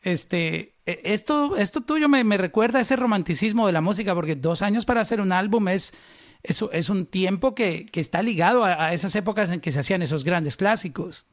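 High-voiced man hosting an interview. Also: expressive, a little fast. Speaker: interviewer, podcast host. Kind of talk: conversational podcast interview.

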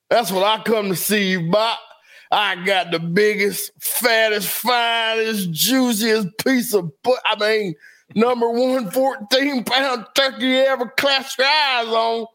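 A man's voice: extremely high pitch, slow pace, fairly bright tone, voice pushed up, exaggerated voice. A man playing a folksy, pushy turkey seller with a drawling rustic patter.

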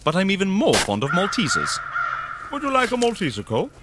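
Theatrical, teasing dream ringmaster, swooping pitch. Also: male voice, huge pitch swings, a little fast, heavy background noise. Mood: teasing, sly. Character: playful, riddling male dream master of ceremonies. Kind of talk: surreal audio drama acting.

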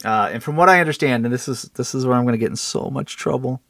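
A man's voice: medium pitch, vocal fry, a bit bright, no echo, studio quality. Chuckling, rambling man chatting casually. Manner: rambling, halting, self-interrupting, chuckles while talking. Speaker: podcast co-host. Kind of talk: casual chat and storytelling.

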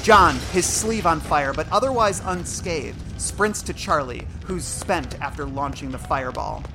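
A male narrator tells an audio drama in present-tense scripted style. His voice sits a little high, measured and full of suspense. Prominent music plays behind him. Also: expressive. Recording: music and effects under narration.

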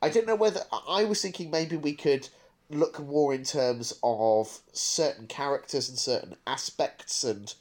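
A man's voice: medium pitch, normal speed, darkish tone. A man hosting a podcast in casual review chat, thinking aloud in a discursive way. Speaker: podcast host.

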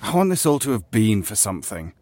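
A man's voice: fairly low pitch, big pitch swings, brisk, a little mellow, dry recording. A man playing a weary, stubborn veteran player of a surreal game, wry and conversational in a naturalistic audio drama. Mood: yearning, insistent.

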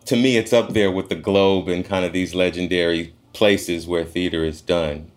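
A man's voice: deep voice, fairly even intonation, normal speed. A man, a stage actor, talking in an interview. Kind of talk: interview talk.

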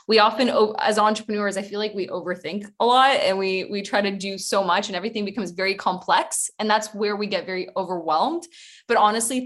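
Chatty woman consultant on a video call. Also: mid-range voice. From Ukraine. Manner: chatty, with frequent small laughs and restarts. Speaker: recruitment consultant. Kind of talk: conversational webinar presentation.

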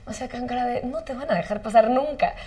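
A woman's voice: fairly high pitch, fast. A woman, a talk show guest, telling a funny story in casual chat and acting out the lines of the people in it. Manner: animated storyteller, acts out quoted lines.

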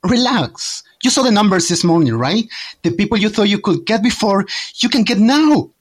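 A man playing an eager, excitable teenage boy in an audio drama, with a husky edge to the voice. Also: high voice, normal speed, slight background noise. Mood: excited.

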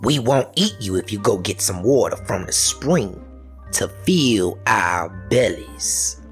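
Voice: sits low, animated, slow pace. Menacing chanting folk-tale witch voiced by a storyteller. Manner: rhythmic chanting while chopping. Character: three menacing, hungry, long-toothed witches. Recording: music behind the voice.

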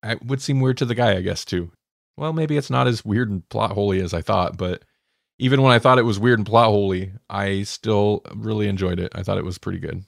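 A man's voice: fairly low pitch, a little fast, creaky, no room sound, clean studio sound. A man hosting a podcast, chatting casually as he reviews stories. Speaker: podcast host.

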